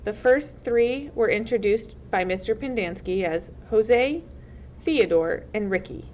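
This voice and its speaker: A woman's voice: mid-range voice, lively intonation, measured pace. Woman reading a story, muffled noisy recording. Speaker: teacher reading aloud. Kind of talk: storybook narration. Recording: muffled lo-fi recording, loud background noise.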